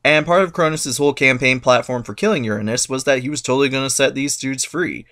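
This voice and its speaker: A man's voice: medium pitch, fast, pushed and bright. A man giving a comic dramatic reading of myths, sarcastic and irreverent. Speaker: narrator.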